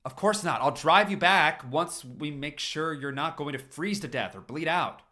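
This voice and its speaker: A man's voice: a little high, expressive, moderate pace, bright, forceful tone, pitched above the performer's own voice. Man voicing a firm, caring uncle, slightly raised. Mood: firm concern. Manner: practical, firm, reassuring. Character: caring, level-headed uncle, awkward but firm softy. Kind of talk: acted story reading.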